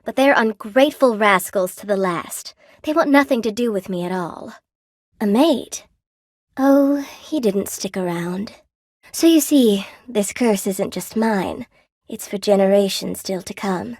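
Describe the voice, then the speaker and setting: Video game spider weaver woman: mid-pitched, coy, folksy and wry, friendly chatter, dry close studio sound. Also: a little slow, no room sound. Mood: bittersweet. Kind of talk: video game character dialogue. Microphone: close dry studio microphone.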